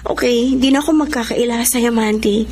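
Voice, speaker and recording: A woman's voice: on the high side, a little fast, background noise, lightly acted. A woman with a Filipino accent playing an earnest, dutiful, troubled young working woman in a radio drama. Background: music.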